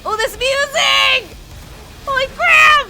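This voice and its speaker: A young woman streamer with a very high, bright voice, reacting to a game with gasps and exclamations. She talks in a slow, drawn-out way to her viewers. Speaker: streamer playing a story game. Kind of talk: excited live reaction commentary.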